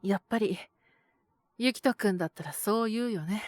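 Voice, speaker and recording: A woman voicing a calm, protective male owner who talks in a gentle, measured, softly teasing way in an audio drama. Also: mid-range voice, no echo, clean studio sound, natural voice. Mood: gentle, explaining. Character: calm, protective adult male bar owner mentoring a youth. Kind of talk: Japanese situational audio drama acting.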